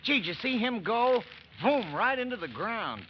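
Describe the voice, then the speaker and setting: A young American soldier, curious and easily excited. His voice is high and jumps around in pitch, full of boyish folksy exclamations. It comes from a muffled 1940s radio broadcast. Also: normal speed. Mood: boyish excitement. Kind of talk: 1940s radio drama acting. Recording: muffled, noisy vintage radio broadcast recording.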